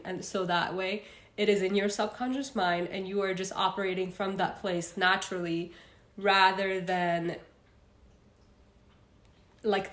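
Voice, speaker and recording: Chatty young woman in a roomy echo. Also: lowish voice. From Egypt. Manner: chatty, reads out viewer comments, frequent fillers. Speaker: self-help host. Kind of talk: casual livestream talk.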